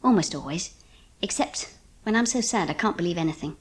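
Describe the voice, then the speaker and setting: A loving Edwardian mother in an audiobook drama. The voice is breathy and expressive, with wide swings of pitch. The manner is tender and well-spoken, brave with a wistful edge. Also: a little fast. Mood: wistful, encouraging. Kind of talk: dramatised audiobook narration and dialogue.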